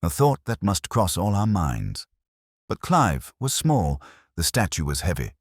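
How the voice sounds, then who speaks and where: Man playing a measured, very deep-voiced police inspector. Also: huge pitch swings, dry recording, clean studio sound, heavily acted. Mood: mild, thoughtful. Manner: measured, formal, dryly understated. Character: mild, wry, thoughtful male police inspector.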